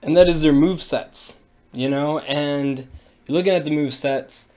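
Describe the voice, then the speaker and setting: A young man's raspy, hoarse voice, slightly higher than most men's. He talks in a loose, rambling way, full of "uh" and "you know" and restarts, like a streamer thinking aloud. It sounds picked up by a desk webcam in a small room. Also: unhurried, a little mellow. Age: young adult. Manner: rambling, many fillers and restarts. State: hoarse from a cold. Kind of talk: casual livestream explainer.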